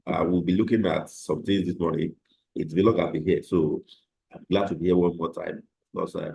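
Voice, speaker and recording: A man teaching a live online trading class, slightly hoarse from a cold, explaining step by step with fillers over a computer microphone. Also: low-pitched, warm tone, poor audio. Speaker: trading instructor.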